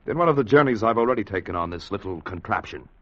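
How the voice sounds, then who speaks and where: An actor playing a confident, dry-witted inventor with an assured, wry manner in a 1950s radio drama style. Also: male voice, lowish voice, lively intonation, fast, fairly bright tone. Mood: assured, proud. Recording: muffled vintage radio recording.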